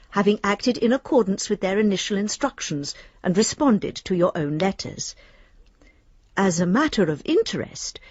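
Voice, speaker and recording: An actress playing a formal, politely reserved clerk, reading business letters aloud in a radio drama. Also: female voice, medium pitch, darkish tone, breathy edge, no room sound, dull audio. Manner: prim, businesslike, measured.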